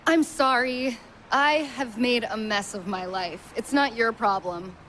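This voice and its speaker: An actress playing an anxious, self-pitying, fragile young woman in a live stage play, speaking haltingly and putting herself down. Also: a little high, a bit bright. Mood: despairing. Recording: faint noise, live theater stage.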